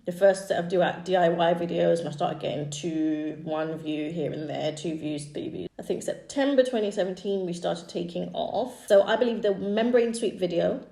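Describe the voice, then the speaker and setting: A woman in her thirties from East Africa whose first language is Swahili, chatting casually as a YouTuber walking through her own channel history with fillers and quick asides. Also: fairly low pitch, gravelly, mellow. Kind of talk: casual screen-share walkthrough. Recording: echoey room.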